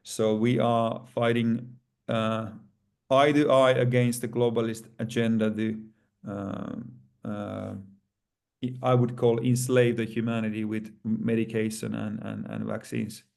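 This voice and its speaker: Man, Finnish accent, gravelly voice, monotone, halting, lots of fillers. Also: fairly low pitch. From Finland. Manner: halting, with many fillers and restarts. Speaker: plaintiff in a lawsuit, guest. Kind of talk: casual interview talk.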